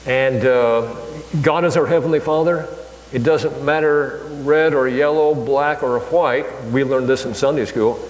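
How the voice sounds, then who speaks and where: An older man delivers a sermon in an easygoing, halting way, stopping often to restart his sentences. His voice rings through a large, echoey hall with a long tail of reverb. A faint hum sits underneath. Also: medium pitch. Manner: conversational, halting, many fillers and restarts. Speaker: preacher. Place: church hall.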